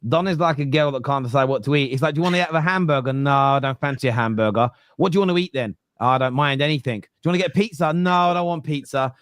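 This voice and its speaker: A young man with a Cockney accent hosting a football fan podcast, teasing and animated, often talking over the others. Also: medium pitch, fast talker, few pauses, clear, resonant tone, no echo, clean studio sound. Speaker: podcast host. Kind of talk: heated football fan debate.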